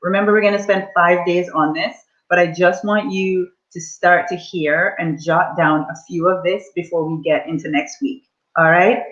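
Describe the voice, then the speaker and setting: A woman's voice: fairly low pitch, steady tone, moderate pace, fairly bright tone, lo-fi sound. A woman in her forties, a money mindset coach, leading a live online coaching session and speaking to her audience in an upbeat, encouraging way. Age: 40s.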